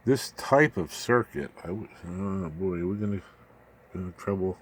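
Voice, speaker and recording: Low-voiced man giving a folksy technical walkthrough. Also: a little slow. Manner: folksy, rambling, slangy. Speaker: electronics hobbyist presenter. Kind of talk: informal technical explanation.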